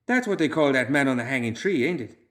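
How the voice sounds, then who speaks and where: A man voicing a bitter young male farmhand who blurts out rough country talk. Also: mid-range voice, expressive, fast, fairly bright tone, voice pushed up, natural voice. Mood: angry.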